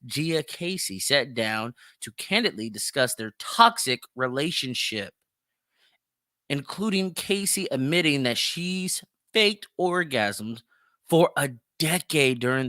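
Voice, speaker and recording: A man's voice: mid-range voice, sharp tone, dry recording, studio quality. A man hosting an opinionated solo commentary show, speaking bluntly and emphatically, swearing freely and repeating phrases for stress. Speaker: podcast host.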